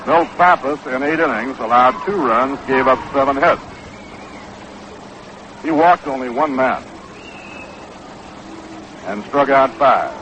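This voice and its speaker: An American man calling baseball play-by-play in 1960s radio style, with a measured, unhurried, factual delivery. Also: medium pitch, a bit bright. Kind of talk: 1960s live radio sports commentary. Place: ballpark broadcast booth. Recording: dull, noisy 1960s AM radio broadcast.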